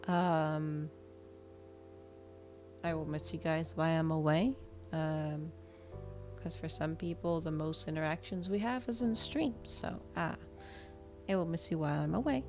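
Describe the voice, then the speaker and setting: A woman's voice: low voice, steady tone, measured pace, long pauses, a little mellow, faint music behind. A woman livestreamer chatting casually to her viewers, rambling, trailing off and thinking aloud. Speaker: livestreamer hosting an ASMR and gaming channel.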